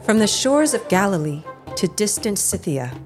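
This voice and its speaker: A woman's voice: medium pitch, very animated, normal speed, music in the background. A woman narrating a scripted religious story in a storytelling style.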